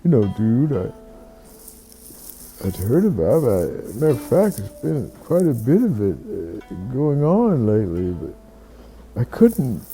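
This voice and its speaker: A person telling a reflective bedtime story in a slow, halting, musing way, often repeating words. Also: medium pitch, very animated, mellow, soft background music.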